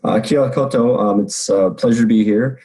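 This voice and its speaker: A middle-aged American man answering interview questions in an online talk, speaking with many pauses and restarts. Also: on the low side, dark tone. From United States. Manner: halting, many ums and restarts. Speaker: education professor.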